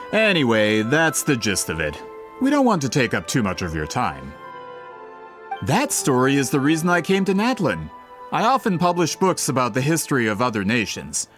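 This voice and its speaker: A man's voice: medium pitch, wildly swooping pitch, moderate pace, fairly bright tone, soft background music. An actor playing a polite, eager book merchant with a theatrical salesman's manner in a video game. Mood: explanatory.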